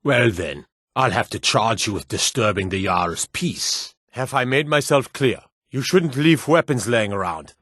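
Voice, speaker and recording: A man's voice: mid-range voice, animated, measured pace, few pauses, a bit bright, no echo, studio quality. A man playing a stern, wary medieval city guard in a fantasy video game, with an authoritative, curt way of speaking. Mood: stern, smug. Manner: authoritative, curt, clipped commands.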